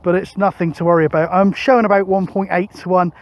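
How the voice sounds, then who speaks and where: A middle-aged man narrates a casual outdoor vlog, thinking aloud with hesitant pauses. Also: high voice, little pitch movement. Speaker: radio hobbyist vlogger. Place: open hilltop field, outdoors. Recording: outdoor recording with wind noise.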